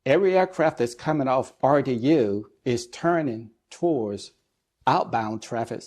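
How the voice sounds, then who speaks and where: A middle-aged man speaking as an expert interview guest, explaining things in a measured, deliberate way with pauses between phrases. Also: medium pitch, animated, darkish tone. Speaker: guest expert, air traffic controller and business executive.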